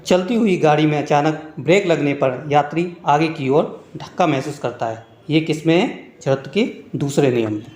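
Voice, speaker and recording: A man's voice: on the high side, normal speed, hardly any pauses, a little mellow. A man narrating a scripted lesson as an exam-prep teacher, reading out points one by one in an instructional way. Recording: echoey room.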